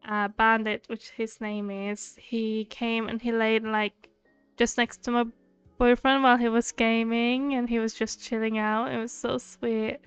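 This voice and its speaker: Woman, very smooth clear voice, chatty, affectionate, casual livestream talk, game music behind. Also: fairly high pitch, flat delivery, a little slow, no room sound. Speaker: game streamer.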